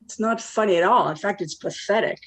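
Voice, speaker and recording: A woman's voice: mid-range voice, brisk, fairly bright tone, lightly acted, poor audio. A woman acting a blunt, lonely forty-nine-year-old who probes with wry questions in a naturalistic play. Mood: prickly. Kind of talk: naturalistic one-act play dialogue.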